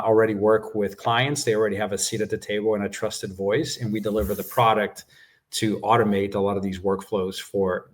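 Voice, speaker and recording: Man, low voice, native Portuguese speaker, measured explanations, business interview guest. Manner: measured explanations with frequent "uh" and "right?". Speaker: guest executive.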